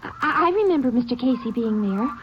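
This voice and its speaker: An actress playing a polite, earnest young female high school usher who stammers a little, from an old radio drama. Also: animated, a little fast, noisy background, natural voice. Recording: dull old radio recording with music behind.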